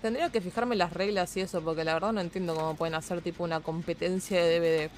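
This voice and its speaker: Argentine woman game streamer, lowish voice, blunt slangy swearing, casual chat over faint ambient noise. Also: fairly even intonation, fast. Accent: Argentine Spanish. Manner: blunt, slangy and foul-mouthed. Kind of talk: casual chat while gaming.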